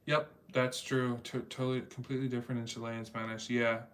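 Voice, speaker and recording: A man talking in a relaxed, rambling way as he hosts a casual livestream, filling his sentences with small asides. His voice sits at an ordinary middle pitch for a man. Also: brisk. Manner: rambling and chatty, many fillers. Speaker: livestream host reading chat. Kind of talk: casual chat.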